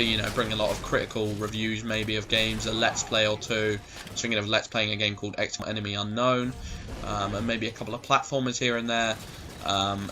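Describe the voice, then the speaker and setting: A man in his twenties giving rambling, sweary gameplay commentary, his voice going a bit hoarse. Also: lowish voice, sharp tone, a little hiss or hum. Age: 20s. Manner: rambling, swears a lot, goes off on tangents. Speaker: gaming commentator.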